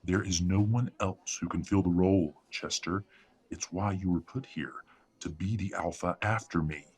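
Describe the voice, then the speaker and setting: Low-voiced male actor as older pack leader, gravelly husk, unhurried, domineering, werewolf audio drama. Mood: menacing, certain. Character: menacing older werewolf alpha father, curt and domineering.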